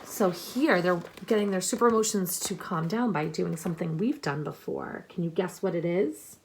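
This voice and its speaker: A woman teacher reading a picture book aloud to children, expressive and instructive, pausing to explain and ask questions. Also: fairly low pitch, slight background noise, rough recording. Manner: deliberate, instructive, addressing young listeners with questions.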